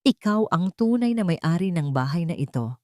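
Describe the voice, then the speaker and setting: Scheming husband, read by a female narrator, audio drama villain, low register, sweet-talking and cold, dry recording. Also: animated, moderate pace, dry recording, close to an everyday voice. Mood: calm, calculating. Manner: soft, sweet-talking, calculating. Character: scheming, coldly tender husband plotting murder.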